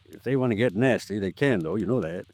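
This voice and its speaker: An actor playing a cautious, plain-spoken American lawyer, deliberate and folksy, in 1950s radio drama style. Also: male voice, lowish voice, lively intonation, a little mellow, no room sound. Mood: cautious, probing. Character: cautious, plain-spoken small-town male lawyer, measured.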